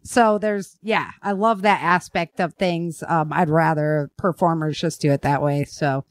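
A woman hosting a podcast, chatting informally and a little haltingly, with emphasis on key words. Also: deep voice, steady tone, no echo, clean studio sound. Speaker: podcast host and author. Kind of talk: casual podcast chat.

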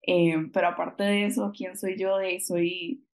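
A woman's voice: on the low side, level tone, a little slow. A woman in her twenties from Costa Rica with a Costa Rican accent, answering interview questions over a video call in a hesitant, laughing way. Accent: Costa Rican Spanish. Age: 20s. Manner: hesitant, many fillers, laughs often. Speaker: guest. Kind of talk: conversational interview.

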